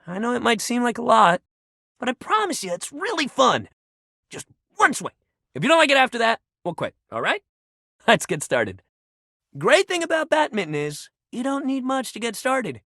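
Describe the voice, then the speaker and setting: Wildly animated sporty young dude. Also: male voice, very high voice, moderate pace, no echo, studio quality, heavily acted. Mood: excited, encouraging. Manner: slangy, buddy-like coach pep talk. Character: upbeat, sporty young badminton-loving dude, animated shuttlecock. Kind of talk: video game character voice acting.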